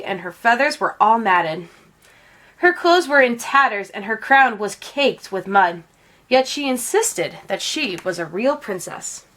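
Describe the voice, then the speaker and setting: A woman reading a children's picture book aloud as an expressive storyteller. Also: fairly high pitch. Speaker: read-aloud storyteller, teacher.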